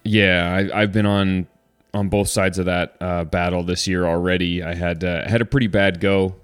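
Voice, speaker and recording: Deep-voiced relaxed male podcast host. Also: moderate pace, clean tone, dry recording, faint music behind. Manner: relaxed, rambling, frequent restarts. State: slightly congested. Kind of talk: casual podcast chat.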